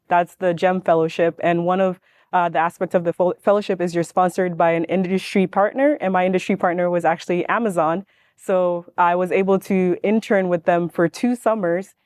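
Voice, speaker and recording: A young woman speaks on a panel in a smooth, clear voice. Her pitch stays fairly level as she tells her own story informally. The sound is dry and close, with little room around it. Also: a little mellow, no echo. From born in Ghana, grew up in Washington state, US. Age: young adult. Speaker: panelist, manufacturing engineer. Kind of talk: informal personal introduction on a panel.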